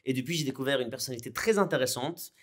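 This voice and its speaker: A man's voice: mid-range voice, expressive, rapid-fire. A man hosting a casual podcast interview, chatting informally and a little hesitantly, with some teasing. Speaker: podcast host.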